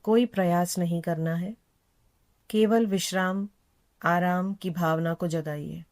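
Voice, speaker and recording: A woman yoga instructor leading a guided meditation in a calm, unhurried, soothing manner, repeating phrases gently. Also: fairly low pitch.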